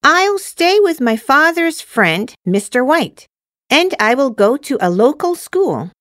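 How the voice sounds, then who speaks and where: A woman's voice: high voice, big pitch swings, slow pace, a bit bright, no echo, studio quality, heavily acted. A woman acting a cheerful, eager student girl in a scripted listening-test dialogue, enunciating carefully like a textbook.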